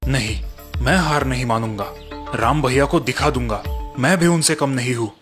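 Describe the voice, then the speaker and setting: A man's voice: mid-range voice, normal speed, fairly bright tone, soft background music, pitched below the performer's own voice, close to an everyday voice. A man voicing an earnest, proud younger brother from a village in a cartoon story. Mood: determined. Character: timid, proud, resentful younger village brother selling sweets.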